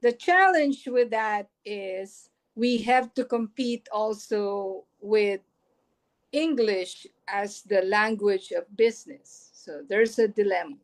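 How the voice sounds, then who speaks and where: A woman from the Philippines with a Filipino accent, her first language Filipino, answering a conference question in a reflective way, thinking aloud. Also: medium pitch. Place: United States.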